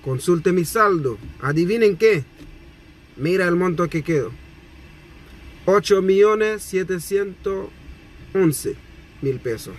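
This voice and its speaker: A man in his thirties from Haiti, talking indignantly to a phone camera from a parked car, animated and repetitive. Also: high-pitched, slow, pauses often, a little hiss or hum. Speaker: vlogger. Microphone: phone camera at arm's length.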